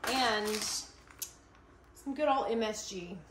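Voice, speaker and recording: A woman's voice: on the high side, slow. Chatty young woman in an echoey kitchen. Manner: chatty, self-mocking asides while cooking. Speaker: vlogger. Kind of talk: casual cooking vlog chat. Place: home kitchen, indoors. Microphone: camera mic from a few feet away. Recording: echoey kitchen, faint cooking noise.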